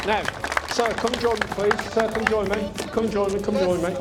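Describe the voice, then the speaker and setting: A man's voice: sits high, steady tone, moderate pace, heavy background noise. A man compering an outdoor car show award, coaxing and rallying the crowd, with a chesty cough. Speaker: car show presenter and video host.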